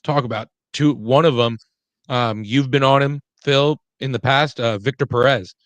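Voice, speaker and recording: Halting man in a dry-sounding room. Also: medium pitch, no room sound. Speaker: sports show panelist. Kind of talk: casual chat.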